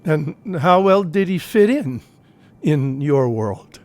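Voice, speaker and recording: A man's voice: on the high side, animated, slow, darkish tone. An actor playing an attentive, considerate male confidant in an audio play, with a naturalistic, musing, conversational delivery. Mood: gently probing. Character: attentive male confidant, gently probing and apologetic.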